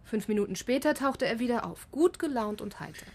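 An actress playing a chatty young understudy actress in a German radio drama, gushing and a bit nervous. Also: female voice, on the high side, animated, a little fast, creaky, darkish tone, husky, heavily acted. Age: young adult.

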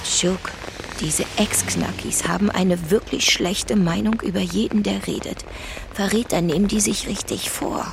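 A woman's voice: medium pitch, fairly even intonation, unhurried, vocal fry, noisy background. An actress playing a working-class rural mother in an audio drama, wary and coaxing, with intimate, naturalistic delivery. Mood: anxious, pleading.

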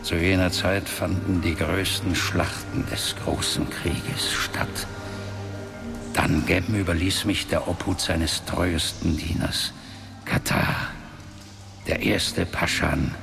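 An actor playing an ancient, solemn wizard father in a fantasy radio drama, grave and measured, with a breathy half-whispering voice. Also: low-pitched, exaggerated voice. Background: background music.

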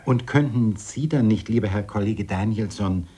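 An actor playing a courteous, diplomatic science professor in a radio drama, speaking formally and with deliberate care. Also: male voice, on the low side, dark tone, husky. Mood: earnest, measured. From Denmark. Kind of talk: 1970s radio drama acting.

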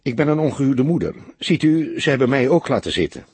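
Very husky and dry, this is a bitter young mother as acted out by a male narrator in an audiobook. She sounds upset and defensive, with scorn for men and a lively, lifted intonation. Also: mid-range voice, a little fast, no echo, voice pushed up. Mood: bitter, scornful. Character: young unmarried mother, bitter, upset, sober-mannered witness. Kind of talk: audiobook character dialogue.

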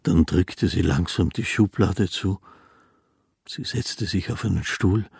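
An actor playing a melancholy, naive poor fiddler in a radio drama, speaking in a halting, subdued way as he recalls his lost love. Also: deep voice, creaky, husky, exaggerated voice. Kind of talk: radio drama first-person narration.

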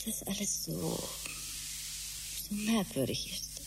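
An actress in a German radio drama playing an anxious, frightened woman who pleads and frets in halting, whispery phrases. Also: mid-range voice, expressive. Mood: uneasy. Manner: halting, breathy whispering when afraid, pleading. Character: frightened, anxious female companion, fretting and pleading. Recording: clearly noisy, hissy radio play recording.

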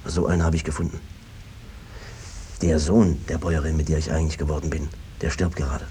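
An actor playing an evasive, henpecked peasant brother who talks around things in a cajoling, hesitant way, in a 1950s radio drama style. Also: male voice, extremely low pitch, flat delivery, a little fast, mellow. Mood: scheming, persuasive. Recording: noisy old 1950s radio recording.

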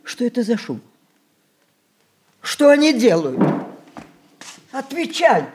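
An actress playing a dying old matriarch in a radio drama, imperious yet tender, with theatrical, halting phrases. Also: female voice, on the high side, sing-song, background noise. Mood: sharp, indignant. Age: older. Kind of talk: Russian stage-style radio drama acting.

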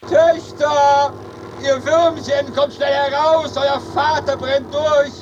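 A man's voice: very high voice, flat delivery, slow, lo-fi sound, a little hiss or hum. A man playing an agitated, complaining servant in a theatrical radio comedy, declaiming with exasperation and pleading. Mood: desperate pleading. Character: agitated, complaining male servant of a mad master.

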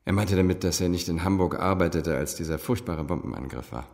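An actor playing a wealthy, guarded businessman in a radio drama, formal and quick to take offence. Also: low voice, gravelly, a little mellow. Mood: guarded, businesslike. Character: wealthy businessman father, guarded, indignant when questioned. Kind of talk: German radio drama acting. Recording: reverberant room.

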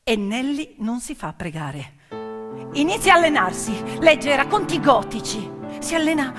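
A woman's voice: high voice, very animated, a little slow. A woman stage storyteller narrating dramatically, with emphatic, short, suspenseful phrases. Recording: reverberant hall with piano music. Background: piano music.

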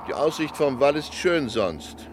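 An actor playing an aloof, cryptic townsman in a German radio drama, speaking in a measured, formal, foreboding manner. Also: fairly high pitch, big pitch swings. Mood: reserved, wistful. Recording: noisy outdoor street ambience behind voice.

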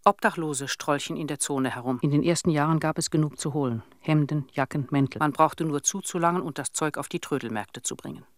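A woman's voice: low-pitched, a little fast, few pauses, no echo, close to an everyday voice. Actress as old countrywoman, sorrowful, lonely, reflective, plain-spoken, radio drama monologue, dry sound. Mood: wistful, resigned. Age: older. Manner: plain, reflective storytelling in short sentences.

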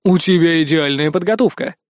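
A narrator plays a self-assured male police captain in an audiobook. The delivery is firm and sardonic at a medium pitch. The recording is dry and close. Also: a little fast, no echo, natural voice. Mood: firm, wry.

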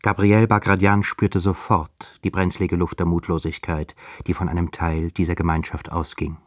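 A man acting as the grave narrator of a radio drama. His voice is low and he reads literary prose as a scripted account. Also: level tone, moderate pace, dry recording, lightly acted. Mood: grave, measured.

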